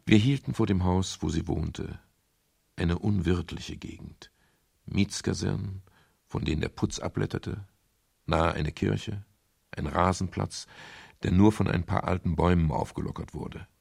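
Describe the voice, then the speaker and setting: A man playing a world-weary private detective in a radio crime drama, speaking with a dry, wry manner and a gravelly, husky voice. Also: sits low, fairly even intonation, a little slow, a little mellow, no room sound, heavily acted. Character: world-weary male private detective narrating his case. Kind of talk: 1970s German radio crime drama, first-person narration and dialogue.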